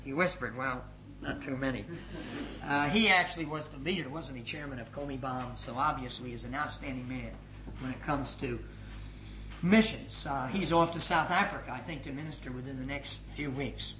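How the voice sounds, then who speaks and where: A middle-aged man giving a spoken report as a missions leader to a gathering, energetic and emphatic, swinging between loud and hushed. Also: mid-range voice, moderate pace. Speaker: missions leader addressing his organisation. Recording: echoey room with faint noise.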